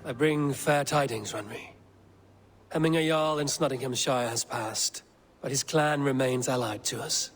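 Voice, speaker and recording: A man's voice: mid-range voice, a little slow, faint music behind, natural voice. An actor playing a steadfast male Viking raider and clan leader in a video game. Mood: grave, measured. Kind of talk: dramatic video game acting.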